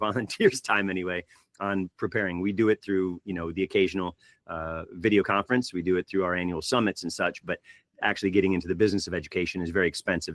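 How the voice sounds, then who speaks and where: A man's voice: low voice, a bit bright, no room sound. A man from the United States moderating a panel, talking conversationally and asking questions with plenty of fillers. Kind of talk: panel discussion.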